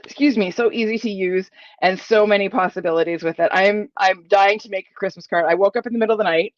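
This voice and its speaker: A chatty, enthusiastic middle-aged woman walks viewers through a craft project, full of fillers and asides. Her voice is a little croaky from a cold. The sound is dry and a bit dull, as if from a modest home setup. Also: mid-range voice, dry recording. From Ontario, Canada. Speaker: papercraft demonstrator. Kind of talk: live crafting tutorial.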